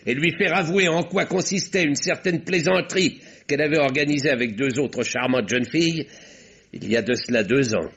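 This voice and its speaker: An actor playing a courteous, insistent doctor turned sleuth, questioning in measured, articulate old radio mystery style. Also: male voice, a little high, fairly bright tone. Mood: urgent. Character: courteous, probing doctor turned amateur detective. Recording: muffled old radio drama recording.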